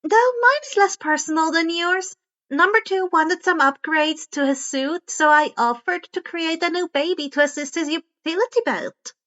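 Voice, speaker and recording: A woman's voice: very high voice, normal speed, pushed and bright, lo-fi sound, voice pushed up. A woman voicing an eccentric, curious teenage girl inventor with a perky, casual, teasing manner in a story reading. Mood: breezy.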